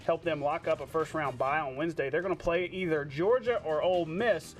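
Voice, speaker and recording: High-pitched male sports anchor. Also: brisk, faint music behind. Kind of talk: scripted sportscast narration with co-anchor banter.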